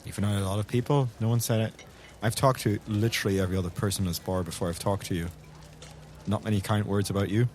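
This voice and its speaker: A man's voice: fairly low pitch, steady tone, fast talker, darkish tone, slight background noise, close to an everyday voice. A man playing a blunt, probing investigator in improvised tabletop roleplay, pressing with repeated questions. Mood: cold, blunt.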